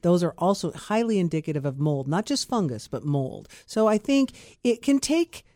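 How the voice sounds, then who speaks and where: A woman, a guest doctor on a radio show, explaining clinical topics in a measured way. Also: lowish voice, very animated, warm tone, no echo, studio quality. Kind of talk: radio interview.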